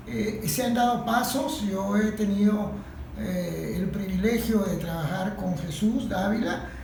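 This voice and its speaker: A middle-aged woman with a Venezuelan accent speaking as a panel guest, haltingly searching for her words. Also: mid-range voice, warm tone. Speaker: interview guest, industrial worker. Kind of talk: spontaneous panel discussion. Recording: very echoey room, faint noise.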